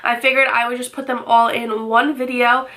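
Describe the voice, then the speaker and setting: A young woman vlogging from her bedroom, chatty and upbeat, her voice a little raspy from a cold. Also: a little high, level tone, normal speed, pushed and bright. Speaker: beauty vlogger. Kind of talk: casual unboxing chat. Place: bedroom, indoors.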